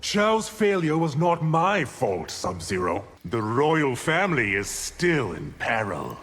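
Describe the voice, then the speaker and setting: An actor playing an arrogant, menacing evil sorcerer in a video game, with haughty, deliberate, theatrical speech. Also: male voice, mid-range voice, big pitch swings, fairly bright tone. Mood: haughty, scornful. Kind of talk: dramatic video game villain acting. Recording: long hall reverb, music underneath.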